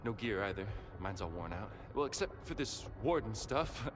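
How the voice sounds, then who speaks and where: Cheeky, scruffy scrounger, swooping pitch. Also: male voice, sing-song, normal speed. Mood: cheeky, apologetic. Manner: folksy, slangy, sardonic. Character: wary, scruffy male stalker scrounger, cheeky and wheedling. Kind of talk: video game voice acting.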